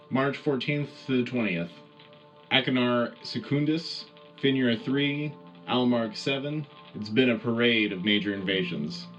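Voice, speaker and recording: A man's voice: mid-range voice, a bit bright, dull audio, lightly acted. A man playing an earnest wartime radio host, delivering scripted war news in a dramatic, punchy, rallying way.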